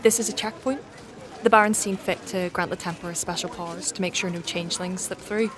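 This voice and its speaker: An actress playing a helpful, matter-of-fact female soldier in a dark fantasy audio drama, informal and obliging in manner. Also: fairly low pitch, steady tone, brisk, a crowd in the background, natural voice. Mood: resigned. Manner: plain-spoken, informal, obliging.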